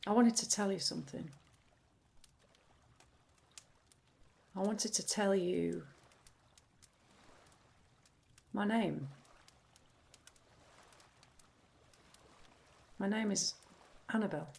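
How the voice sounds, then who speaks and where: An actress playing a troubled, candid, anxious woman in an audio play, halting as she searches for words. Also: mid-range voice, vocal fry, darkish tone, close to an everyday voice. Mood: earnest, hesitant. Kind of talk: audio play acting, confessional monologue.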